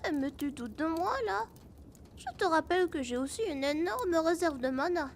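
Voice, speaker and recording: A cheeky little magical animal speaks in a very high, creaky voice. It is loyal, lazy and childlike, a familiar companion from a fantasy audio drama, over faint ambient noise. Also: female voice, lively intonation, moderate pace.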